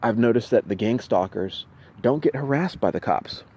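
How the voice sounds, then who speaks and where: A man venting in an unscripted video monologue, halting and repeating himself, with insistent emphasis. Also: medium pitch, animated, darkish tone, breathy edge. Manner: halting, repetitive, with restarted phrases. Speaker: vlogger recounting personal experiences.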